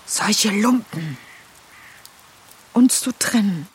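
A woman's voice: medium pitch, expressive, drawn-out words, loud music behind the voice. An actress playing a resolute, blunt yet vulnerable young refugee woman in a radio drama. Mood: bitter, defiant. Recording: hissy, with rain noise.

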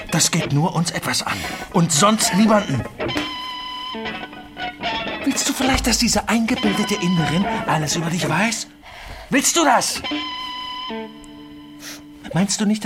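An actor playing a domineering, hot-tempered husband in a German radio drama, with a menacing, insulting manner. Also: male voice, sits high. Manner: menacing, insulting, forceful questioning.

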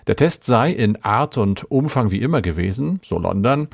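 Low, gravelly male podcast host. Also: animated, normal speed. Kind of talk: scripted podcast moderation.

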